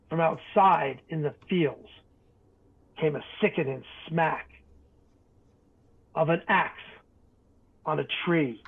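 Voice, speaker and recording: A man reading a rhyming bedtime story aloud in an expressive, dramatic way. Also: on the high side, husky. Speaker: storybook reader. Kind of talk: theatrical bedtime story narration in rhyme. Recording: tinny, phone-like narrow band.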